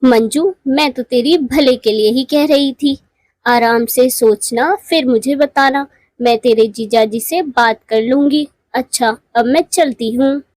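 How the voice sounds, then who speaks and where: Woman voicing haughty high-pitched rich lady bird. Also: unhurried, darkish tone, no echo. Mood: miffed. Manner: condescending, showy. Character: haughty rich married female bird, boastful elder sister. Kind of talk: Hindi moral cartoon voice acting.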